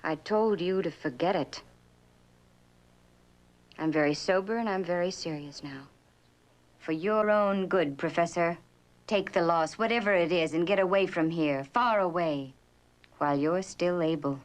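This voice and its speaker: An actress playing a guarded, world-weary woman, terse and measured, in a vintage suspense drama. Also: fairly low pitch, slight background noise, close to an everyday voice. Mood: grave warning. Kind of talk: mid-20th-century suspense drama acting.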